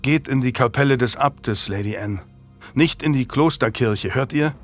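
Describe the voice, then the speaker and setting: A man's voice: medium pitch, normal speed, soft background music, natural voice. A man voicing a guilt-ridden noble knight who speaks urgently and insistently. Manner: urgent, pressing.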